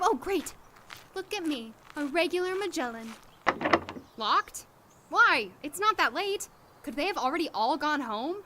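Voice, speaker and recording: A woman's voice: very high voice, heavy background noise, exaggerated voice. A woman acting a flustered, sarcastic young doctor in an audio drama, rambling wryly and easily indignant. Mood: eager, puzzled. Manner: rambling, wry muttering to herself.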